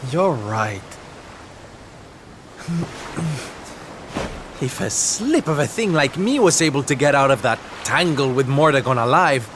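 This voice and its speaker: A man's voice: mid-range voice, background noise, lightly acted. A man playing a flamboyant, tender-hearted seafaring hero in a video game, with a camp, theatrical manner. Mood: rueful, rallying. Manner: theatrical, camp, fond endearments. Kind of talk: video game cutscene acting.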